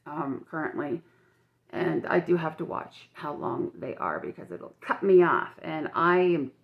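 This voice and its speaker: An older woman with a croaky, dry-mouthed voice, chatting frankly and rambling with many restarts in a personal vlog filmed on her phone at home. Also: low-pitched, big pitch swings, a little slow, lo-fi sound. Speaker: vlogger.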